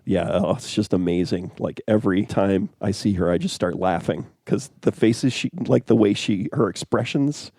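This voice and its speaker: A man on a film podcast, chatting in a fairly low, mellow voice. He gets animated and laughs easily, with many restarts. The sound is dry and close. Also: moderate pace, hardly any pauses, no room sound. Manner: animated, laughs often, trails off mid-sentence. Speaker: podcast co-host. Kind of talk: casual chat.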